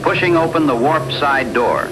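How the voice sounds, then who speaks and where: Higher-voiced man narrating horror, loud noise. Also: lively intonation, moderate pace. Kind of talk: scripted horror story narration. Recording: loud rumbling radio-like background noise.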